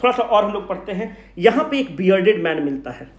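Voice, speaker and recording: A man's voice: high-pitched, lively intonation, fast talker. A man lecturing exam students informally, asking questions and answering them himself, switching into English at times. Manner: informal, addresses students directly; switches between Hindi and English. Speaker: exam coaching teacher. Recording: echoey room.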